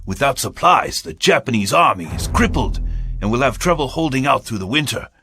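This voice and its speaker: Grave Korean admiral, video game drama. Oratorical, commanding, big swings in pitch, music behind. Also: male voice, big pitch swings, a little fast, sharp tone. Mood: stirred, triumphant. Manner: solemn, oratorical delivery.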